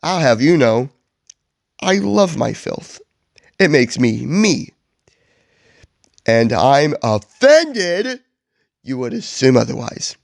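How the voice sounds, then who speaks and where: A man's voice: on the low side, little pitch movement, slow, vocal fry, dry recording, studio quality. Man putting on a huffy, boastful green monster. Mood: indignant, menacing. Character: grumpy, boastful, indignant big green hairy male monster.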